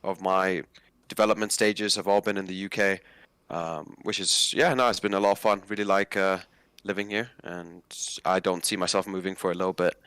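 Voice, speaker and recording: Man, twenties, from Nigeria, lowish smooth voice, dry sound, conversational interview guest. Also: fairly even intonation, moderate pace, fairly bright tone, no echo. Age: 20s.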